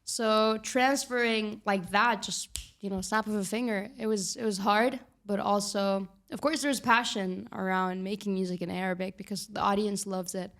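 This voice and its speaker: A young woman, a singer and songwriter, talking as a podcast guest in a lively, emphatic way. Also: mid-range voice, moderate pace, hardly any pauses. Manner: lively, laughs easily, emphatic. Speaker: guest musician. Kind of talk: casual interview chat.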